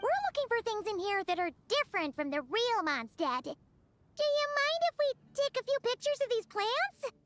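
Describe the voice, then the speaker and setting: Tiny, very squeaky fairy girl. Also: sing-song, normal speed, a bit bright, no echo, soft background music, full character voice. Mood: eager, friendly. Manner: perky, eager, inquisitive. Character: tiny cheerful floating fairy companion, childlike girl. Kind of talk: video game character voice acting.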